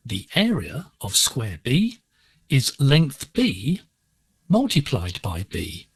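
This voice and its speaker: A man presenting a scripted educational lesson on shortwave digital radio, explaining in a measured, deliberate way. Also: mid-range voice, huge pitch swings, creaky, slightly rough, dry recording. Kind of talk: scripted instructional narration.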